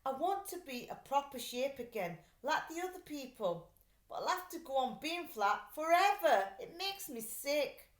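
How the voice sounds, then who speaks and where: A woman's voice: high-pitched, big pitch swings, a little slow, voice pushed up. A woman voicing a sad, weary young boy in a children's storybook, plaintive and emphatic. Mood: miserable. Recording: roomy, echoey sound.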